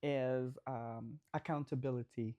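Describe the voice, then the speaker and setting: A woman's voice: a bass voice, fairly even intonation, measured pace, mellow. A woman in her late twenties with a Filipino accent, joking and teasing in casual podcast chat that mixes languages. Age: late 20s. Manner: teasing, jokey. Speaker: podcast co-host.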